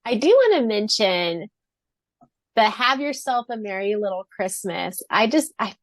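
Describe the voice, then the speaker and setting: A woman's voice: medium pitch, sing-song, a little slow, no echo. A woman co-hosting a podcast, chatting casually in a gushing, giggly way. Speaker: podcast co-host. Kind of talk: casual chat about a fan fiction.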